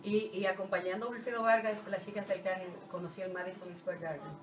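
A middle-aged woman from the Dominican Republic with a Dominican Spanish accent, a singer being interviewed, talking in an animated, halting way with many restarts. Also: medium pitch, soft background music. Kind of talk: casual interview talk. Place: small radio studio with curtain backdrop. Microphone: desk mic on stand, heard from a distance. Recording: roomy studio sound, mic off at a distance.

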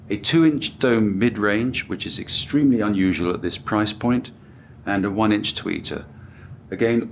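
A British man in his sixties speaks in a deep voice. He explains products in a measured, informative way, like a director showing his range. Faint background noise sits behind him. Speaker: company director presenting products. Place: hotel room at an audio show.

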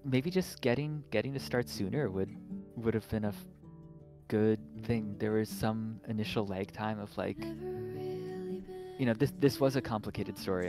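Low-voiced man chatting over guitar music. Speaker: game developer, guest. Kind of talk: casual chat in a Q&A. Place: online voice call.

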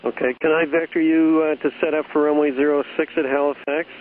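A man's voice: fairly high pitch, flat delivery, fast. A man working as an air traffic controller, giving matter-of-fact procedural instructions over the radio. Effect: radio transmission. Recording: tinny archived radio with light static.

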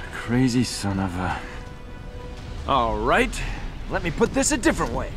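An actor voicing a wisecracking demon hunter in a game, flippant, casual and sardonic. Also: male voice, mid-range voice, wildly swooping pitch, slow pace. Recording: music behind the voice.

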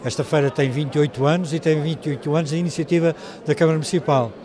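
A man's voice: fairly high pitch, steady tone, quick pace, crowd noise. An older man with a European Portuguese accent speaking formally but off the cuff as an interviewed official. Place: large exhibition hall. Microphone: handheld interview microphones.

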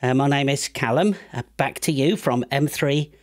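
Man acting a polite, high-pitched radio caller. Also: moderate pace, close to an everyday voice. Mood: polite, hopeful. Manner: formal, careful call-sign delivery. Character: polite, eager male newcomer calling into a radio net. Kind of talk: parody radio net sketch.

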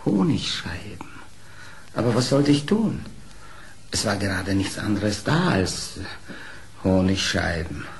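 A sly fox for a children's radio play. He wheedles with false innocence, his pitch swinging freely at an unhurried pace. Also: sing-song, heavily acted. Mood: sly, wheedling. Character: sly male fox, smooth-talking trickster.

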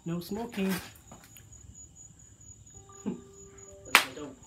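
A man tagging along on an exploration, making quiet joking asides. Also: high-pitched, drawn-out words, faint music behind. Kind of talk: casual remarks.

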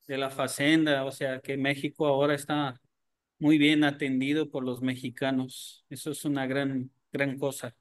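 An older man hosting a video call, speaking Spanish in a gentle, unhurried, pastoral way. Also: mid-range voice, flat delivery, big-room sound. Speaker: host.

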